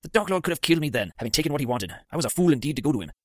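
Guilt-ridden potions master, formal and pained, lively pitch, brisk delivery, read by a man. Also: no room sound, studio quality. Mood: grave.

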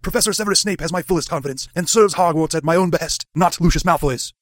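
Guy putting on a breathy old wizard headmaster, high and fast, stern. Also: dry recording, studio quality, natural voice. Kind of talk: audiobook reading.

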